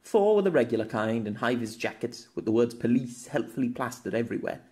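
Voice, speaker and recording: A man's voice: mid-range voice, big pitch swings, fast talker, dark tone. Man narrating an action story dramatically. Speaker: audiobook narrator and author.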